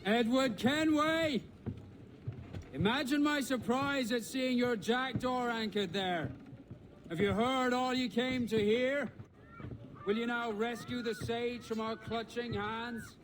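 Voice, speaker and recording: A smug, haughty pirate turncoat in a video game. He talks slowly in a high voice, lecturing, with faint noise behind him. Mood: gloating. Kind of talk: video game cutscene acting.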